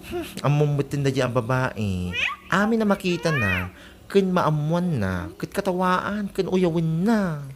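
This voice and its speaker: Woman acting a low-voiced, gossipy village neighbor. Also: big pitch swings, measured pace. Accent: Ilocano. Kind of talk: comedy radio drama acting. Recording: very noisy background.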